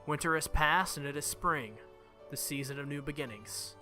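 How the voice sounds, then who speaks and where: A man's voice: on the high side, normal speed. A man hosting a game playthrough, reading the story aloud dramatically with casual reactions and the odd stumble over words. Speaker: let's play host reading a visual novel aloud. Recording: loud game music behind the voice. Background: game music.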